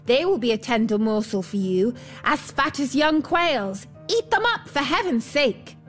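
A woman's voice: sing-song, unhurried, faint music behind. A woman voicing an ungrateful, ill-tempered, cowardly little dwarf man in a screeching, melodramatic fairy-tale voice. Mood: terrified, pleading. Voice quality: screeching, shrill. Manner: scolding, melodramatic exclamations. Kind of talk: fairy-tale storybook character voice.

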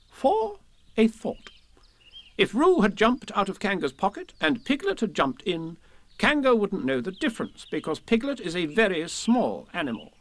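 A self-important rabbit from a children's tale. The voice is pitched high and swings about as it declaims its schemes with pompous, bossy briskness. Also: male voice, fairly bright tone, heavily acted.

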